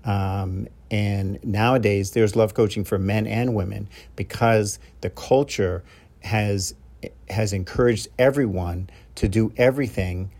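A middle-aged man with a New York accent, speaking as a love coach to his podcast audience. His voice sits on the low side, and he talks in a loose, conversational way with frequent fillers and restarts. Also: fairly even intonation, measured pace, a little mellow. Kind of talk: advice monologue to a podcast audience.

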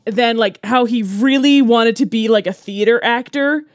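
A woman's voice: on the high side, moderate pace, no echo, studio quality. A young woman co-hosting a casual comedy podcast, blunt and emphatic with plenty of swearing. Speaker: podcast co-host.

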